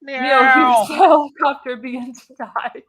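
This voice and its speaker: A woman's voice: sits high, steady tone, a little slow, over a noisy crowd. A woman narrating screenplay directions for a live radio play, reading dramatically with the odd stumble. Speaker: narrator, show host.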